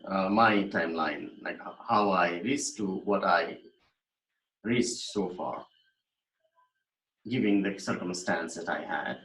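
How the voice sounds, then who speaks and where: A man, giving a recorded online lecture in a thoughtful, explanatory way, pausing with fillers and restarting his sentences. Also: fairly low pitch. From Nepal. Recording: echoey room.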